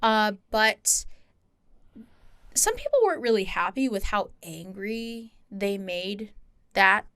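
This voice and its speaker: A woman's voice: mid-range voice, big pitch swings, slow pace, fairly bright tone, clear, resonant tone, dry recording, studio quality. A woman from Hawaii chatting informally as a podcast co-host, explaining things in a conversational way. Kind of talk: casual podcast chat.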